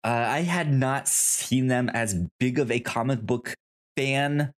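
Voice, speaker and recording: Casual podcast host with a halting delivery. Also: medium pitch, steady tone. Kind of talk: casual review chat.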